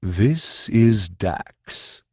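A slow, solemn and pedantic man, as the narrator puts him on, who speaks with deliberate, dryly formal weight. His voice sits low and unhurried in a close, dry recording. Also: a little mellow, dry recording. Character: solemn, pedantic large male trader-scientist.

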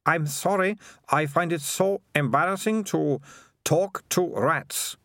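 A man's voice: on the high side, takes it slowly, fairly bright tone, dry recording, clean studio sound, voice pushed up. A man voicing a haughty, impatient, sarcastic young girl in an audiobook, with a scornful, blasé manner. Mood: embarrassed, curt. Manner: scornful, blasé, drawling on mocked words. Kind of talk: audiobook character voice.

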